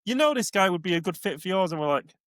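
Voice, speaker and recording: A man with a high voice co-hosts a podcast, cracking jokes, swearing casually and laughing easily. His voice is recorded dry and close, with no room echo. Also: expressive, fast. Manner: jokey, swears, laughs a lot. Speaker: podcast co-host.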